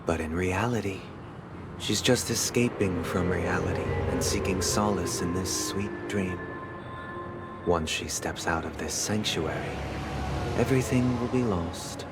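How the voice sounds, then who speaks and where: Very low-voiced, composed authoritative man. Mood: coolly insistent. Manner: formal, measured, assured. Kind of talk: dramatic video game voice acting. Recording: loud music under the voice.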